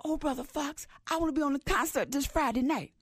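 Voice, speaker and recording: A timid little male frog from a storybook, as a woman storyteller puts him on, eager and pleading. His voice sits a little higher than hers and rises hopefully. The sound is dry and close. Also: fairly quick, dry recording, natural voice.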